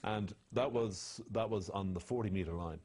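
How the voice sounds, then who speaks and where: Deep husky man, blunt and indignant. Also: normal speed. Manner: blunt, indignant, drops quiet and low when condemning. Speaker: rugby analyst. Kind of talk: studio match analysis.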